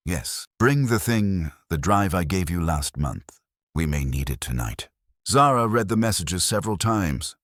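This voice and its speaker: Very deep-voiced man narrating a story. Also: dry recording, clean studio sound. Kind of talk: scripted audio story narration.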